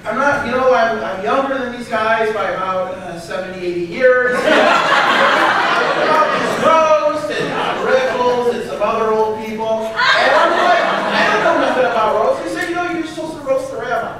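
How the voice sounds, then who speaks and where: A man's voice: very high-pitched, moderate pace, very noisy. A young man standing before a seated crowd, telling self-mocking jokes at a roast in a large banquet hall, picked up by a far camera. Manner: self-mocking joke delivery. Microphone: far room camera.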